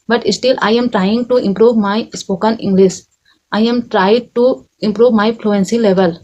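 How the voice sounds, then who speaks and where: A woman's voice: on the high side, darkish tone, low-quality recording. Hesitant Indian woman speaking learner's English. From Chhattisgarh, India. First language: Chhattisgarhi. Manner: halting learner's English, self-corrects, repeats phrases, tags "right?". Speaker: English learner vlogger and tutor. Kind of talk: unscripted personal talk. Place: home in a village.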